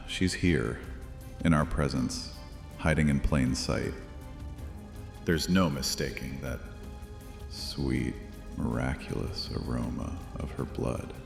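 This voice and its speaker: Very deep, menacing vampire priest. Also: male voice, gravelly, full character voice. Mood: cold menace. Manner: taunting, theatrical, deliberate. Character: menacing, mocking vampire high priest, male. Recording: long hall reverb, music underneath.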